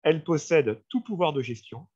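A man's voice: fairly high pitch, lively intonation, moderate pace, darkish tone, slightly rough. A man giving a formal, didactic training presentation on a video call, explaining slides and addressing his listeners directly. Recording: dull, lo-fi video-call audio.

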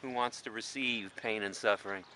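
A man's voice: lowish voice, steady tone, moderate pace. A man in his forties with an American accent, giving informal, emphatic commentary full of rhetorical questions as an animal advocate. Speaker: animal rights advocate presenting a farm sanctuary. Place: open farm field outdoors. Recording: noisy outdoor farm location sound.

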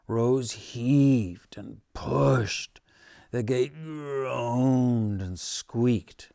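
A man in his sixties reading a children's story aloud expressively, in a measured, dramatic manner. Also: medium pitch, creaky, warm tone, no room sound, studio quality. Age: 60s. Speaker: storybook reader. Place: living room at home, on a sofa.

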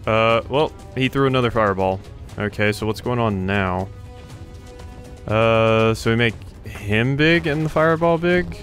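A man's voice: on the low side, measured pace. Man thinking aloud over game music. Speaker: video game streamer. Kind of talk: casual let's play commentary. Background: video game music and effects.

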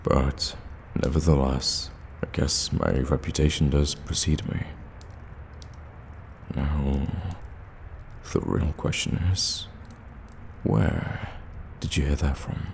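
A man performs a suave evil warlock for an ASMR audio roleplay, with an extremely low voice full of gravel. He speaks slowly and intimately, courteous yet teasing, as if coaxing a customer into a bargain. Long cave-like reverb surrounds him. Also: heavily acted. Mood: suave, welcoming. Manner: soft-spoken flattery, measured and teasing. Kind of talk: ASMR roleplay, intimate direct address to the listener. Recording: cavernous reverb, ambient background noise.

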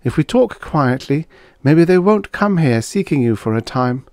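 A man's voice: fairly high pitch, animated, normal speed, a little mellow, no echo, clean studio sound, voice pushed up. A man voicing a proud, guarded foreign woman scientist in a story, with a clipped, insistent manner.

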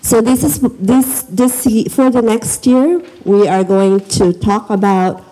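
A woman's voice: mid-range voice, fairly even intonation, takes it slowly, mellow, rough recording. A middle-aged woman with a Filipino accent, giving an informal welcome speech into a handheld microphone as an event host in a school library. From the Philippines.

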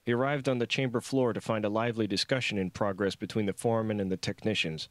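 A man's voice: on the low side, fairly even intonation, quick pace, dry recording. A man reading his own novel aloud as scripted audiobook narration.

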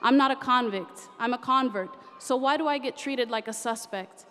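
A woman's voice: fairly high pitch, moderate pace, a bit bright. Impassioned woman reciting a poem onstage. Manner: rhythmic, impassioned, rhyming delivery. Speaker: spoken word poet. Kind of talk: performed spoken word poetry. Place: large convention hall stage. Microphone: podium microphone. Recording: reverberant convention hall.

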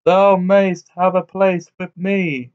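A man voicing a huge, dim-witted, blustering giant who talks in a slow, booming, old-fashioned way. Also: little pitch movement. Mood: bullying. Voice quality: booming, thundering. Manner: slow, drawn-out archaic speech. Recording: dull lo-fi recording.

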